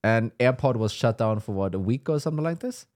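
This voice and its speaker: A man co-hosting a podcast, reacting with quick interjections and recapping the guest's story. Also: medium pitch, animated, a little mellow, no echo, studio quality. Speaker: podcast co-host. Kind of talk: casual interview chat.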